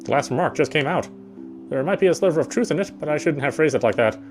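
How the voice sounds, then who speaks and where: Fast-reading man narrating over soft guitar. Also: on the high side, animated. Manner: stumbles and rereads phrases. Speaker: game player reading aloud. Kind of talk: scripted narration read aloud. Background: soft guitar game music.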